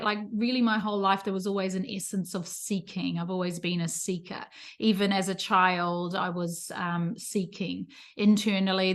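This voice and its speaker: A woman in her fifties, born in the Netherlands, talks through her path into yoga as a guest. She speaks in a relaxed, reflective way and laughs easily as she chats. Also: mid-range voice. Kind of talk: casual interview chat.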